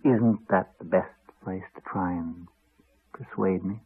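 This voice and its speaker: An actor playing a cruel, sardonic husband who needles his wife in measured tones, in the style of 1980s radio drama. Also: low voice, slightly rough, heavily acted. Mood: coolly cruel. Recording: narrow-band 1980s radio recording, phone-like.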